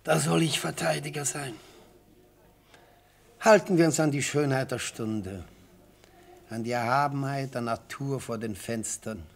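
An actor playing an elderly, theatrical defence lawyer in a radio play, speaking in rhetorical, declamatory phrases with savoured pauses and a husky voice. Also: male voice, medium pitch. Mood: rueful, savouring. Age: older. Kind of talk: radio play courtroom oratory. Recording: vintage radio play recording.

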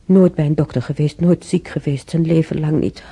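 An actress playing an older woman in a radio play, musing haltingly and sorrowfully over the past in a husky, gravelly voice. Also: low voice, fairly even intonation, mellow, exaggerated voice. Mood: indignant, grieving. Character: older woman, sorrowful, brooding, recalling war years. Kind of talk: radio play monologue, reminiscing.

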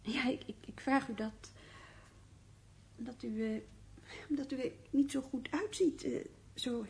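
An actress in a radio play playing a polite, solicitous office employee who speaks formally and haltingly. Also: female voice, high voice, gravelly, a little mellow. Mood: polite, concerned. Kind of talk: Dutch radio drama acting.

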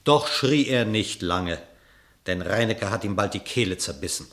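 A male narrator telling a verse tale for a radio play in a measured, expressive, dramatic storytelling style. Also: on the low side.

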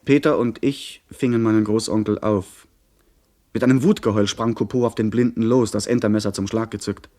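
An actor playing a young gentleman who recounts a pirate adventure as the narrator of a radio play, in measured literary storytelling. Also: on the low side, fairly even intonation, lightly acted. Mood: tense, narrating.

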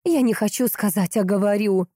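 Female narrator as distraught, hot-tempered sister in crime audiobook: raised pitch, emphatic, impatient, dry sound. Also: animated, dark tone, dry recording, lightly acted. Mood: agitated, impatient. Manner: emphatic, impatient, drawn-out stresses.

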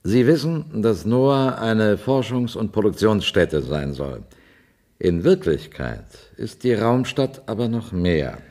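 An actor playing a formal, businesslike space consortium executive with a deliberate delivery in a 1970s radio play. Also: male voice, fairly low pitch, animated, darkish tone.

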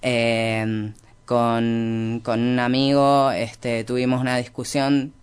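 A man's voice: medium pitch, level tone, slow, clean tone. A man with an Argentine Spanish accent and a clean, resonant voice speaks as a guest in an informal interview. He reasons reflectively and hesitantly, rewording his points as he builds them. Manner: hesitant, reflective, self-correcting. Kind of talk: informal intellectual discussion.

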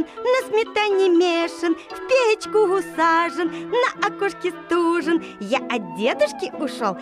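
A cheeky runaway bun from a storybook audio play, squeaking its bragging song at a slow, bouncy lilt. The voice sits extremely high and swoops up and down. Soft music plays underneath. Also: female voice, full character voice. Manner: sing-song chanting. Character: small runaway bun, boastful and cheerful. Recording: music playing behind.

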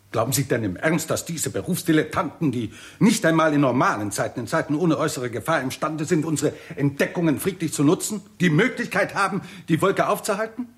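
An actor playing a weary, irritable and pessimistic scientist in a 1960s German radio drama, husky and articulate, with a sardonic, argumentative manner. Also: male voice, a little high. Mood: bitter, agitated.

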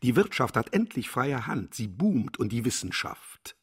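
Husky, pompous, cynical philosopher. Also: male voice, medium pitch, sing-song, unhurried. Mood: self-satisfied, lecturing. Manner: declamatory, grandiose, lecturing. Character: pompous, cynical male philosopher, vain and contemptuous. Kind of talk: German radio play acting.

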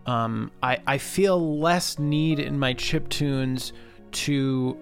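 A man's voice: mid-range voice, extremely slow. A man co-hosting a casual music chat, talking thoughtfully about composing with hesitant restarts. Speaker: co-host and composer.

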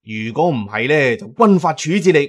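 A man's voice: medium pitch, animated, moderate pace, a bit bright, husky. A man playing a bossy, exasperated tomb raider who barks mock-military orders in an audio drama. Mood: mock-stern, scolding.

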